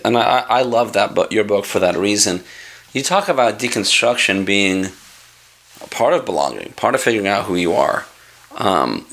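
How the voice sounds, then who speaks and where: A man's voice: lowish voice, vocal fry, fairly bright tone. A man hosting a pastors' podcast, affirming his guest and asking open questions. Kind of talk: interview conversation.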